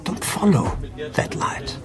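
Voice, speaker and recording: An actor playing a calm, principled doctor in a radio play, speaking in a measured, formal, explanatory way. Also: male voice, crowd noise, lightly acted. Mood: earnest. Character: calm, principled male doctor at an assisted-dying clinic.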